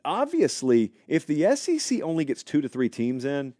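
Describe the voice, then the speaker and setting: A man's voice: fairly high pitch, normal speed, no room sound, clean studio sound. A man hosting a live college football talk show, chatting casually and speculating aloud while answering viewers in the live chat. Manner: animated, conversational, speculative, addresses live chat.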